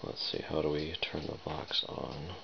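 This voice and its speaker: A man's voice: rumbling low, level tone, unhurried, vocal fry. A man giving a casual product demonstration, thinking aloud in a tentative way as he fiddles. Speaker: product reviewer. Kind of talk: casual unboxing and demonstration talk. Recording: dull lo-fi recording, noisy with clicks and beeps.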